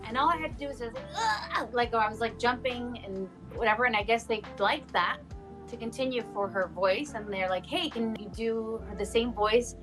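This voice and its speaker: A woman's voice: a little high, moderate pace. A woman being interviewed as a voice actor, chatting casually in a playful, self-joking way. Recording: music behind the talk.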